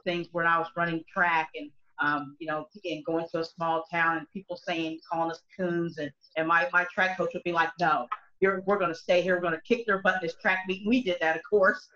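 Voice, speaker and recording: A middle-aged woman, a country singer, talks on a video call from home. Her voice is bright and forward, and she rambles earnestly with many false starts. The laptop mic makes the sound dull and lo-fi. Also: on the low side. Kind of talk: casual video call discussion. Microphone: laptop webcam mic on a video call.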